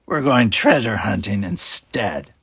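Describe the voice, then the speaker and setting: A man's voice: fairly low pitch, lively intonation, a little slow, gravelly, fairly bright tone, husky, no room sound, studio quality, full character voice. A man voicing a menacing, tusked female alien pirate captain for an audiobook, with a drawling, mocking, commanding manner. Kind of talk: audiobook character voice.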